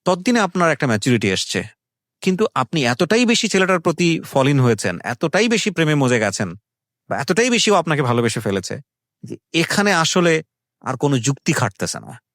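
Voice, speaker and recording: A man's voice: fairly high pitch, big pitch swings, fairly quick, a bit bright, no echo, studio quality. A man with a Bangladeshi accent hosting a radio interview, commenting emphatically, repeating phrases for stress and mixing in English phrases. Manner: mixes English phrases into Bengali. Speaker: radio show host. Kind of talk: conversational interviewing with reflective social commentary. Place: radio studio.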